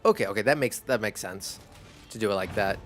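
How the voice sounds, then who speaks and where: Brief: casual male streamer, Spanish first language, low-ish voice, rambly, faint music under him. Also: brisk. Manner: rambling, relaxed, laughs easily. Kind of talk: casual chat while gaming.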